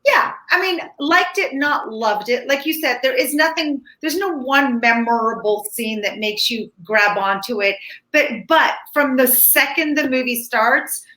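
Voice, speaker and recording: A woman's voice: high voice, measured pace, pushed and bright. A woman talking casually as a film critic in a review chat, recorded in an echoey room.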